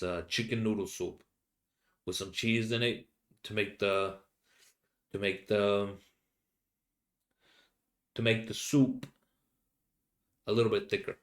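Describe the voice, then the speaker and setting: A young man from Finland with a Finnish accent, speaking English as a second language, rambling casually as a solo podcast host and often pausing to search for words. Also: lowish voice, fairly bright tone. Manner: halting, many fillers and restarts, searching for English words.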